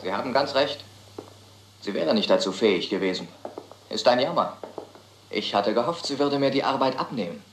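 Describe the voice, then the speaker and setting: An actor playing a smug, cold-blooded murderer with mocking politeness in a German crime radio play. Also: male voice, medium pitch, animated, moderate pace, pauses often, reverberant, noisy background, close to an everyday voice. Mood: smug, menacing.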